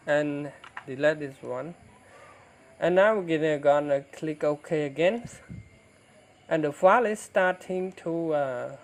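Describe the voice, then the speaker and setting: A man, an English speaker, talking through a software tutorial in a halting way, with many fillers and restarts. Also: a little high. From Cambodia. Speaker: tutorial teacher. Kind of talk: screen-recorded software tutorial.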